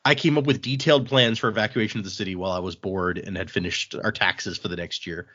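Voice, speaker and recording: A man's voice: on the low side, steady tone, fast talker, fairly bright tone, highs cut off. Man reading as an earnest, meticulous woman planner. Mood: earnest pleading. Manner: earnest, insistent. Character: earnest, meticulous female planner pleading with officials.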